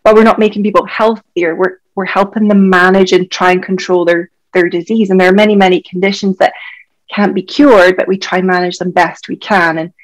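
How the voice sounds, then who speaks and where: A woman's voice: lowish voice, level tone, fairly quick, rough recording. A Scottish woman in her thirties, a doctor and health coach, chatting candidly as a podcast guest with lots of "like" fillers. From Scotland. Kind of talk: casual podcast conversation.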